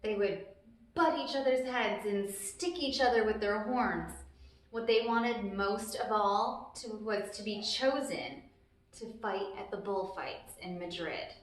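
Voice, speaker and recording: A woman with a mid-pitched voice narrates a children's story as a storytime host. Her delivery is expressive and simple, pitched at young listeners. The room is very echoey, with strong reflections around her voice. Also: takes it slowly. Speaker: storytime host reading a children's book aloud.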